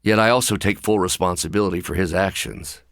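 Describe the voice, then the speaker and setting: An actor voicing a brilliant, anxious, wry scientist with buried anger in a video game, speaking in precise, articulate, dryly sardonic phrases. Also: male voice, low-pitched, fairly quick, gravelly, a bit bright, dry recording, studio quality. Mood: measured, guarded.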